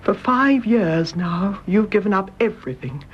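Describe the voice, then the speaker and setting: An actress plays a genteel older British mother-in-law with a mid-pitched voice. She is measured, kindly and quietly knowing. The radio drama sound is dull with faint noise. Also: expressive, lightly acted. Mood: grave, sympathetic. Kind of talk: BBC radio drama acting.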